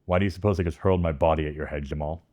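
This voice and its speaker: A man's voice: a bass voice, steady tone, racing, a little mellow, faint music behind. A man playing a menacing, domineering band director in a parody sketch, cutting, condescending and explosive. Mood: cold condescension. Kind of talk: parody sketch of an intense music drama.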